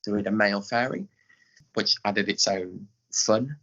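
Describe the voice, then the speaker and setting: Bright-voiced English man, deepish, slightly dull audio. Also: normal speed. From England. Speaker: guest, pantomime director and scriptwriter. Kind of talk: casual interview chat.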